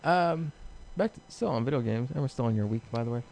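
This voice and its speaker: A man's voice: medium pitch, expressive, quick pace, vocal fry, a little mellow. A man chatting casually about video games as a co-host, blunt, profane and prone to wandering off on tangents.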